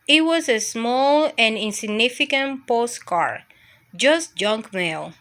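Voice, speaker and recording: A woman's voice: fairly high pitch, expressive, takes it slowly, a bit bright, full character voice. A woman voicing a mischievous, adventure-hungry dog who tells its own storybook escapade. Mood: cheeky, excited. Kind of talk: storybook first-person narration.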